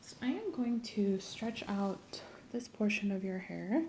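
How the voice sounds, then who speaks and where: A soft-spoken woman, often whispering, doing an ASMR medical exam roleplay in a gentle, clinical manner. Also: mid-range voice, expressive, measured pace, slight background noise, low-quality recording. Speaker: ASMR performer playing a medical examiner.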